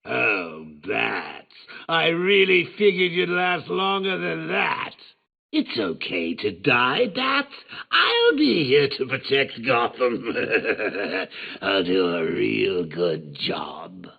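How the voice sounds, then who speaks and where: An actor playing a cackling, unhinged male clown villain who taunts his nemesis in a theatrical, mocking way, swinging between whispers and shouts. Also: mid-range voice, wildly swooping pitch, takes it slowly, narrow, tinny audio, exaggerated voice. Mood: gloating. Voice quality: gravelly, with manic laughter. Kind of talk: video game voice acting.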